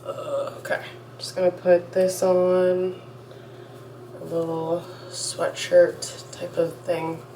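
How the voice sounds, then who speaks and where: A young woman talks into her phone at home as she gets ready, rambling and cutting herself off mid-thought. Her voice sits slightly low and sounds a bit stuffy from a cold she is getting over. A faint background hum runs under the recording. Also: little pitch movement, takes it slowly, warm tone. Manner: chatty, rambling, self-interrupting. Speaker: vlogger. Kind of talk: casual get-ready-with-me chat. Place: at home, indoors.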